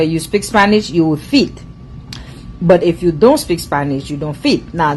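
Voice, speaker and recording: A woman speaks English with a foreign accent in a lowish voice. She lectures her audience in a casual, emphatic monologue and keeps circling back to the same points. A faint hum sits under the recording. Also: a little mellow, low-quality recording. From immigrant to the US. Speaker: social media commentator.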